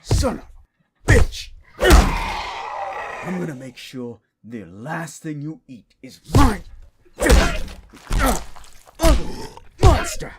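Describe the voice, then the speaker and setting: A man playing a gruff, wisecracking demon-born monster hunter in an action horror audio roleplay, talking in blunt tough-guy slang. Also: on the high side, wildly swooping pitch, takes it slowly, pauses often, heavy background noise, full character voice. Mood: furious taunting. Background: music.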